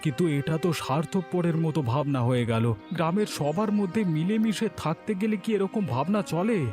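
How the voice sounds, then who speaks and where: A man playing a kind, principled poor villager in a cartoon, speaking in an earnest, gently persuasive manner. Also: on the high side, moderate pace, lightly acted. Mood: earnest, pleading. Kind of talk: Bengali moral-story cartoon dubbing. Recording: music behind the voice.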